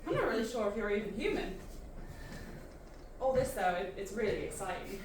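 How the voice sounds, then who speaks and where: A woman's voice: mid-range voice, big pitch swings, brisk, far from the mic, lightly acted. An actress playing a curious, wry young woman from Earth in an audio drama, with a dry, informal, inquisitive manner. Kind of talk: audio drama acting with first-person narration. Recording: very echoey, off-mic, clearly noisy.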